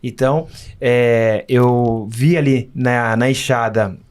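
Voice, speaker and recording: A man's voice: mid-range voice, steady tone, slow pace, a little mellow, clean tone. A Brazilian man from Minas Gerais speaking as a podcast guest, telling personal stories in an earnest, emphatic, conversational way. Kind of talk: casual interview storytelling.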